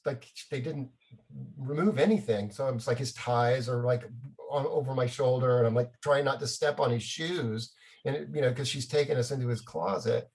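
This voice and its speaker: Man telling anecdotes, halting and conversational. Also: medium pitch. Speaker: guest.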